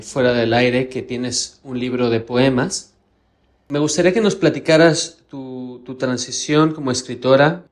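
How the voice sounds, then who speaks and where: A man's voice: medium pitch, no echo. A man hosting a literary podcast, speaking in Spanish as narrator and interviewer.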